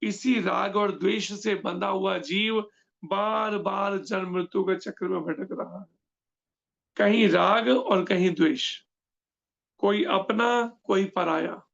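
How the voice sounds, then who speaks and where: A high-pitched man with a gravelly edge in his voice leads a devotional scripture lecture. He explains point by point and often pauses to ask his listeners questions. The recording sounds dull and lo-fi. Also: steady tone, fairly bright tone. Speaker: scripture class teacher.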